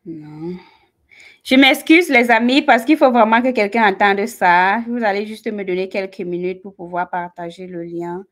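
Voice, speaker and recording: Cameroonian woman on a livestream telling her story, animated, mid pitch, talks right to the viewers. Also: normal speed. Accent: Cameroonian French. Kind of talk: live-stream personal storytelling.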